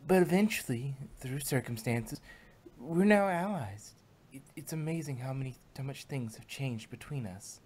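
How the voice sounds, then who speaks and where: A woman's voice: extremely low pitch, lively intonation, normal speed, few pauses, a little mellow, a little hiss or hum. Studious cartoon pony mare voiced by a woman, reflective, kindly and sincere, for an amateur story reading. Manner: earnest, reflective. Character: studious young female pony princess, earnest and kindly. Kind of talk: fan fiction character reading.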